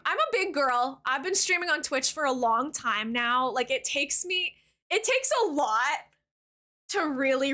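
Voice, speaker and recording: A woman in her thirties with a high-pitched voice, chatting casually with her viewers as a streamer and rambling with lots of "like" fillers. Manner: warm toward chat, thanks new followers. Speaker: Twitch streamer. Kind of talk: casual live-stream chat while playing a game.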